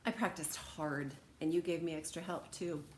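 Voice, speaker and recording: Woman, lowish voice, expressive children's read-aloud, animated delivery, roomy sound with some noise. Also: normal speed. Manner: animated, dramatic emphasis. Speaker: storyteller reading a picture book aloud. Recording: roomy echo, background noise.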